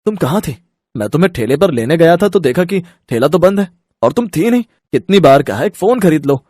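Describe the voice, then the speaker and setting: A man's voice: on the high side, expressive, moderate pace, dark tone, dry recording. A man voicing an easygoing, supportive young husband and son in a cartoon story. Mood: worried. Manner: chatty, agreeable. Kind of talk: Hindi cartoon moral story.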